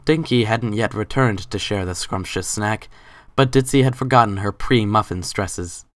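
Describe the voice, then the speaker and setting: A man delivers dramatic, scripted story narration. His voice sits low, and the sound is dry with no room around it. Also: moderate pace, no echo.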